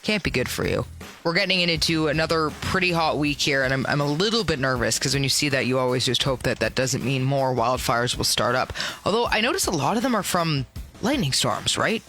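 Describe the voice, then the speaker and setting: A woman with a raspy voice hosting a radio podcast, chatting casually and playfully with big exclamations. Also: rumbling low, fairly bright tone. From Ontario, Canada. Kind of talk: casual chat. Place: radio studio.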